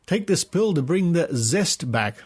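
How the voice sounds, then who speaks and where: An Australian man hosting a podcast, reading aloud in a measured, explanatory way with conversational asides. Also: a little high, sing-song, a little mellow, breathy edge. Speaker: podcast host.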